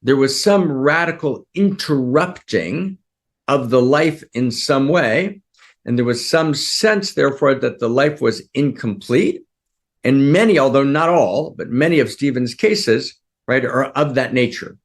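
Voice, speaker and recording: Male spiritual teacher, mid pitch, emphatic, keeps asking the room if they follow. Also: lively intonation, measured pace. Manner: keeps checking in with listeners, repeats phrases. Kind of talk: teaching session to a group.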